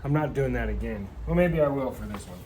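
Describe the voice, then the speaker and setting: A man's voice: medium pitch, expressive, brisk. A man casually explaining a car brake swap in his workshop, rambling and joking, calling his viewers kids. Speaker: garage mechanic demonstrating a brake swap. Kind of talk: casual hands-on how-to talk. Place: workshop garage. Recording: noisy shop ambience.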